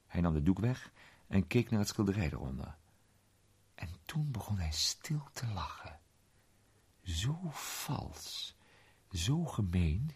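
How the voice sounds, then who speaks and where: An actor playing a curious amateur sleuth who tells a suspenseful mystery story in animated, colloquial radio drama narration. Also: male voice, deep voice, slightly rough, full character voice. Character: curious male amateur sleuth recounting a suspenseful night adventure.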